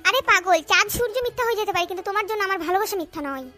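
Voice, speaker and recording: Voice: very high voice, racing, loud music behind the voice. A performer voicing a brash, flirtatious female cartoon dog who sweet-talks in gushing, speech. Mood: coaxing, romantic. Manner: gushing, cajoling, coarse colloquial talk. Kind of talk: comic cartoon dub, melodramatic romance.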